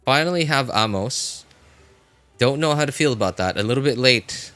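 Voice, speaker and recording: A man chatting casually as he comments on gameplay on a live stream, rambling and often correcting himself in a halting way. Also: medium pitch, expressive, sharp tone, no echo, soft background music. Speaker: game livestreamer.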